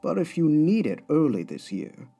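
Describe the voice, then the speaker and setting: A man's voice: on the high side, sing-song, normal speed, creaky, mellow, slightly rough, faint music behind, full character voice. A man playing a haughty, wealthy aristocratic villain in a comic dub, speaking with formal, pompous precision. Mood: smooth, courteous.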